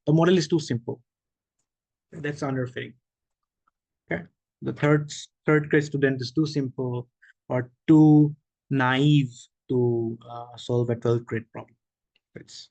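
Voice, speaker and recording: A man giving an online machine learning workshop, explaining slowly with frequent okays, restarts and questions to the audience. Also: mid-range voice, flat delivery, vocal fry, a little mellow, no echo. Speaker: workshop presenter. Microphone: video call.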